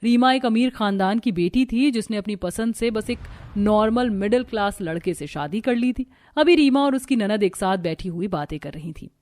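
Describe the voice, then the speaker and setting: A woman's voice: mid-range voice, lively intonation, moderate pace, darkish tone, slight background noise. A woman telling a scripted family moral story as its narrator.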